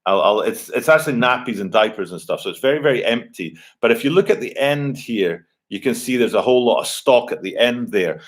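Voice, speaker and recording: A man's voice: mid-range voice, animated, a little fast, hardly any pauses. A man, chatting casually on a live stream from his home computer, rambling with lots of fillers and restarts. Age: 40s. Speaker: livestream host. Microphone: computer webcam mic.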